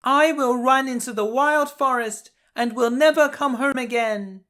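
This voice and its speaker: A man voicing a gentle, innocent young princess in a light, earnest falsetto for a fairy tale. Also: unhurried, heavily acted. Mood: pleading.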